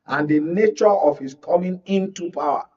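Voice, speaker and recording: Male Nigerian pundit, explanatory and checking he is understood, slightly rough voice, dull distant recording. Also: a little high, normal speed, darkish tone. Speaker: media analyst, studio or call-in guest. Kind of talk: political analysis in live discussion. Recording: muffled, distant from mic.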